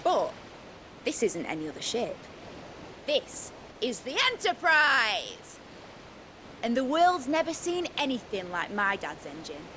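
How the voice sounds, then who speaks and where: A cocky young captain and engineer from a fantasy game. Her voice is high and swoops freely, salty and boastful. A faint rumble sits behind her. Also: sing-song, measured pace, bright, forceful tone, full character voice. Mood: excited, boastful. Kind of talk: lively fantasy game voice acting.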